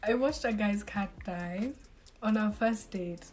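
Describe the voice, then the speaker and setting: A woman's voice: medium pitch, takes it slowly, darkish tone. Young woman reading a story casually. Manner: casual, storytelling, sympathetic asides. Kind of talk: reading a story aloud on a podcast.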